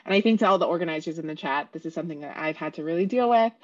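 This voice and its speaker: A woman's voice: deep voice, racing. A young woman on a panel over a video call, speaking earnestly and encouragingly, laughing now and then. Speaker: panelist, civic engagement organizer. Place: indoor room on video call. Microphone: headset. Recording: slightly dull video call audio.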